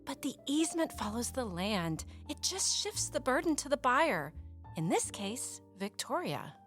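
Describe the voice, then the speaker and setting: A cautious, hesitant wife in an audio drama. She is measured and polite but unsure, her pitch moving expressively over faint background music. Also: very animated, a bit bright, full character voice. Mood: politely doubtful.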